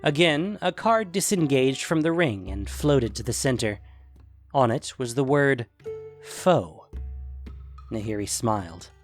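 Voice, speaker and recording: A man's voice: medium pitch, expressive, measured pace, soft background music. A man reading scripted fantasy fiction as a dramatic narrator.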